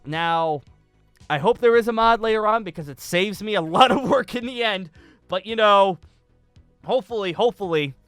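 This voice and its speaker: A young man giving a casual, enthusiastic video tutorial, excitable and emphatic, often repeating himself. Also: high-pitched, no room sound. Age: young adult.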